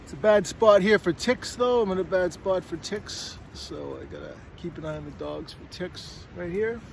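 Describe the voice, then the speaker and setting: Gravelly middle-aged man hiking, out of breath. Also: high-pitched, sing-song, moderate pace, a little hiss or hum. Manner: chatty, rambling, keeps asking "all right?". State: a little out of breath. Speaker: vlogger. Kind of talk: casual walking vlog. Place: windy forest trail outdoors. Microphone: phone at arm's length.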